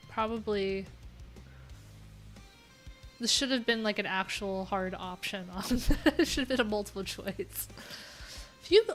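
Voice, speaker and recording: A woman hosts a relaxed livestream, reading a list of viewer answers and breaking off into rambling, chatty asides full of fillers. Her pitch sits in the ordinary middle range for a woman. Quiet pop punk plays faintly behind her. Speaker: livestream host. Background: pop punk music playing.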